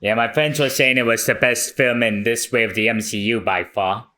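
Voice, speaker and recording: A man co-hosting a casual podcast, relaxed and giving short reactions. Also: medium pitch, normal speed. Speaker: podcast co-host.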